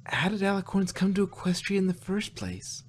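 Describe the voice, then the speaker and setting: A man's voice: high voice, very animated, normal speed, slightly rough, full character voice. A man voicing an eager young female pony princess who asks questions in astonishment, in an amateur fan-fiction reading. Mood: curious. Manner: incredulous, questioning.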